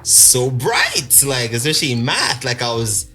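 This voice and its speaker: A man's voice: medium pitch, measured pace, faint music behind. A young man from Congo with a South African accent, a podcast guest telling his life story in a loose, laughing way full of fillers. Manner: animated, laughing, many fillers. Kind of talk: casual storytelling interview.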